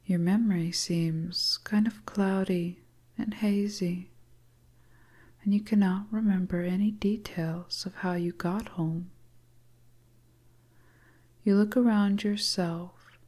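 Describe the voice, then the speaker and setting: Very slow whispering woman storyteller. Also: mid-range voice, steady tone. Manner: hushed, deliberate. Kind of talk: whispered scripted second-person narration, ASMR.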